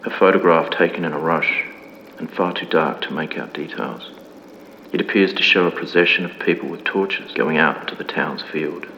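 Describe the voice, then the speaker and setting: Deep, echoing lo-fi archival documentary narrator. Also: male voice. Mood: solemn, grave. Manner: formal, measured, grave. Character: solemn male 1950s archival documentary narrator reporting a mystery. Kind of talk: scripted mock-documentary narration for analog horror. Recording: dull lo-fi, long reverb, clear background noise.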